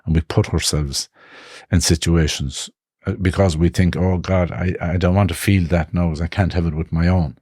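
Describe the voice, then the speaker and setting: A man in his fifties with an Irish accent from Connemara, telling his life story in a reflective, halting way. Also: deep voice, fairly even intonation, a little mellow, slightly rough, no echo, studio quality. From Ireland. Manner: reflective, halting, with frequent restarts. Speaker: guest. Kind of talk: personal life story in conversation.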